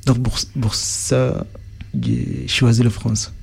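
Gravelly, husky man speaking haltingly. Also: mid-range voice, little pitch movement, a little mellow. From Sudan. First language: Arabic. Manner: searches for words, frequent restarts. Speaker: interviewee. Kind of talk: halting interview answers.

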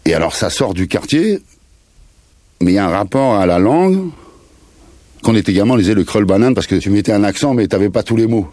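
A man's voice: fairly low pitch, lively intonation, fast talker, long pauses, warm tone, dry recording. A man in his fifties from the Paris suburbs, a musician chatting in a rambling, slangy way with bits of Creole. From France, Paris suburbs. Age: 50s. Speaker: musician guest. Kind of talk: casual interview chat.

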